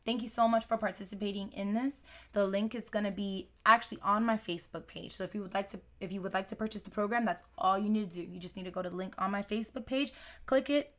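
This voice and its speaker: A woman's voice: mid-range voice, fairly bright tone. A young woman fitness coach talking casually on a live webinar, rambling with lots of fillers, her voice a little worn. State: losing her voice.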